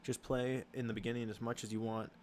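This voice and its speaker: A young man hosting a casual livestream Q and A, answering chat questions in a halting way with many ums and restarts. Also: medium pitch, little pitch movement, a little mellow, a little hiss or hum.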